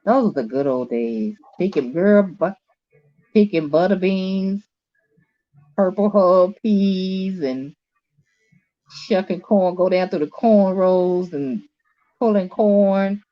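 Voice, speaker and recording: A woman's voice: on the low side, takes it slowly, pauses often, dull audio. A woman in her late forties from North Carolina with a Southern accent, hosting a casual online chat in a folksy way, calling people honey and sweetie. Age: late 40s. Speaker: host.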